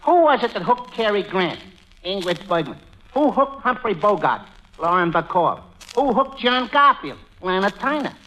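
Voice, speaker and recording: An actor playing a streetwise, slangy, wisecracking buddy in an old radio comedy. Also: male voice, high voice, sing-song, moderate pace, bright, forceful tone, exaggerated voice. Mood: scheming. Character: streetwise, blustering tough-guy buddy, dim but scheming. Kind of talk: 1940s radio comedy acting. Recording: noisy, muffled old radio broadcast, clicks.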